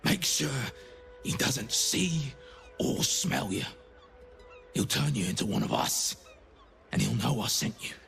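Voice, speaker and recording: Gravelly, frightened undead servant. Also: male voice, medium pitch, big pitch swings, a bit bright, soft background music, heavily acted. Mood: anxious warning. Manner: halting, anxious, emotional delivery. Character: frightened, bitter undead zombie servant man, pleading for life. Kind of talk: video game dramatic acting.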